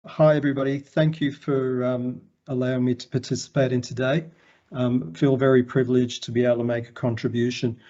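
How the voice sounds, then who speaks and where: An Australian man giving a formal conference presentation in a measured, halting way. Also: medium pitch, flat delivery, dark tone, lo-fi sound. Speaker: conference presenter, health organisation chief executive.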